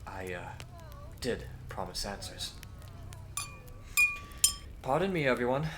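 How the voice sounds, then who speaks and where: A man playing a courteous, earnest young Victorian gentleman hero in a scripted audio roleplay, with formal, hesitant phrasing. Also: lowish voice, lively intonation, slow pace, background noise. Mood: courteous, solemn.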